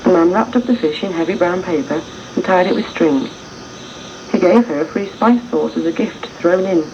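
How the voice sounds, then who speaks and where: A woman reading a scripted first-person story as its narrator. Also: on the low side. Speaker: story reader. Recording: dull lo-fi tape, faint noise.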